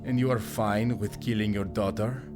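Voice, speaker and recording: An actor playing a resentful, confident villain prince in an audio drama, curt and coldly menacing. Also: male voice, fairly low pitch, steady tone, moderate pace, close to an everyday voice. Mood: cold menace. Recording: music behind the voice.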